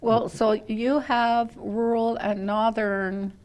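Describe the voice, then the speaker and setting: A woman's voice: fairly high pitch, little pitch movement, slow pace, darkish tone, muffled recording. An older woman with a Canadian accent, hosting a casual, conversational interview. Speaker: interviewer, host.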